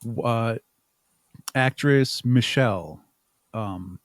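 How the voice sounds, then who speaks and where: A man's voice: mid-range voice, drawn-out words, dry recording. A man speaking casually as a podcast guest, explaining his creative work in a rambling, chuckling way. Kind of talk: casual interview chat.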